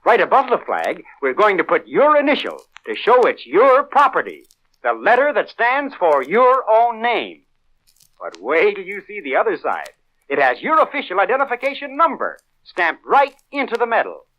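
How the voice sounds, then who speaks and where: A man's voice: high-pitched, pushed and bright. An American man announcing a 1940s children's radio show with emphatic, boyish enthusiasm. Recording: dull old 1940s radio recording.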